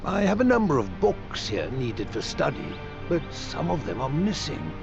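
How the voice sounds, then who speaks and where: Game casting: shrewd dry archmaester, lively pitch movement, slightly muffled audio. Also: male voice, exaggerated voice. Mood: knowing, dry. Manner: dry, knowing, deliberate phrasing. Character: shrewd, blunt male archmaester, worldly scholar. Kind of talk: video game cutscene acting. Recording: dull muffled audio under clear music.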